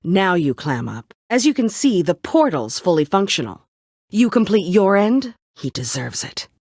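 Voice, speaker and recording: A woman's voice: fairly low pitch, very animated, moderate pace, a little mellow, no echo, studio quality. An actress playing a bitter, world-weary woman survivor set on vengeance in a story video game, brooding, curt and dryly sardonic. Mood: tense, indignant. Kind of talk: dramatic video game voice acting.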